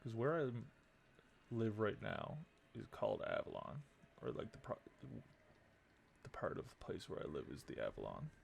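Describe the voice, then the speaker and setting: A man's voice: on the low side, wildly swooping pitch, moderate pace, gravelly, slight background noise. A man giving casual live commentary on a game he is playing, muttering his moves aloud. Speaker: game streamer. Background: game music.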